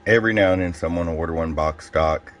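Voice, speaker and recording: Male reviewer, extremely deep pitch, plain-spoken and casual hands-on demo delivery, faint noise behind the voice. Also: fast talker, a bit bright. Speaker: radio seller demonstrating a product.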